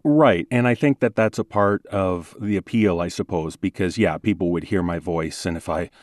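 A man who hosts a podcast and does voice acting, explaining things in a measured, articulate way in an interview. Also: deep voice, darkish tone, no room sound, clean studio sound. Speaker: podcast host and producer, voice actor. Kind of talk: relaxed interview conversation.

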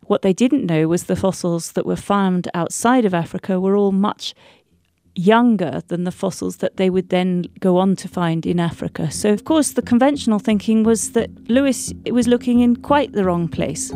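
A woman's voice: medium pitch, normal speed, warm tone. A woman paleontologist being interviewed, explaining in a thoughtful way. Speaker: paleontologist guest.

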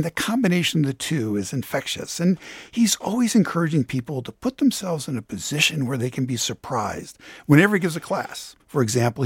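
A man's voice: medium pitch, husky, no echo. A man giving a conversational radio report as an arts reporter.

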